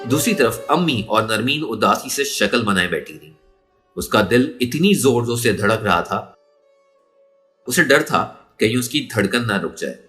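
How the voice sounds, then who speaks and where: A man's voice: mid-range voice, expressive, a little slow, pushed and bright, soft background music. A man narrating a story in a dramatic, emotive and suspenseful way. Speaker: audiobook narrator.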